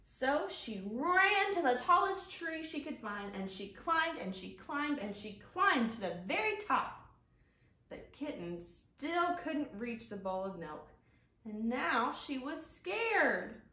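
A woman in her thirties hosting storytime for young children, presenting and reading aloud expressively in simple, drawn-out phrasing. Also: high voice. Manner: expressive, simple child-directed delivery with drawn-out key words. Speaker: children's librarian, storytime host. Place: living room at home, indoors. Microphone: camera a few feet away. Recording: roomy sound from camera mic.

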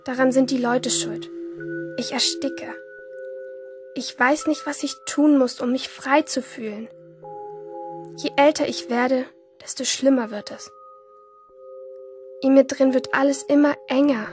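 A woman's voice: sits high, fairly even intonation, unhurried, pauses often, a little mellow. An actress playing a troubled, yearning teenage schoolgirl in an introspective first-person radio drama monologue. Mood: anguished, stifled. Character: troubled, yearning teenage schoolgirl narrating her inner life. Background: music under the voice.

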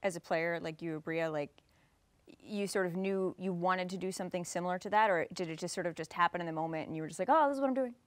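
Female host, forties, brisk conversational questioning, dry studio recording. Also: lowish voice, steady tone, no room sound. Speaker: talk show host. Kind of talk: casual interviewing. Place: indoor studio set.

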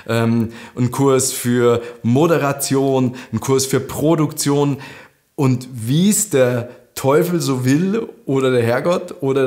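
Man, 30s, Lower Bavarian accent, lively to-camera storyteller, mid-range voice, recorded in a living room. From Lower Bavaria, Germany. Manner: chatty, animated, gestures with hands. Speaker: host.

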